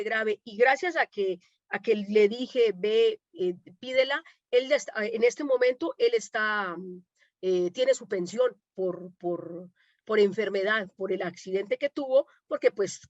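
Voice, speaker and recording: Colombian woman with a higher voice, lively storyteller, dry muffled sound. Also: no echo. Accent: Colombian, from Medellín. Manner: animated storytelling, acts out quoted dialogue. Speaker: domestic worker activist, guest speaker. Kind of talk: conversational talk answering questions.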